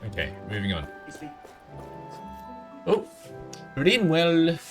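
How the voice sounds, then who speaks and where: A man's voice: a little high, huge pitch swings, takes it slowly. A man doing casual, jokey live commentary as a game streamer, reacting off the cuff in short bursts over game music. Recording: game music and dialogue behind voice.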